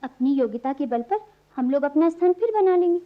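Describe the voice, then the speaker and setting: An actress playing a hopeful, devoted young graduate daughter in a radio play, earnest and respectful in manner. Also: female voice, high voice, animated, a little fast. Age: young adult. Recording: muffled archival radio recording.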